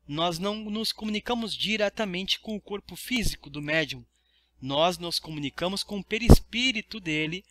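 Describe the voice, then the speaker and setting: Brazilian man, forceful bright tone, didactic teacher, explains step by step, very little room sound. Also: high-pitched, no echo. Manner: didactic, checks understanding with tag questions. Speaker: study group teacher. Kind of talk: spiritist lesson, reading aloud and explaining.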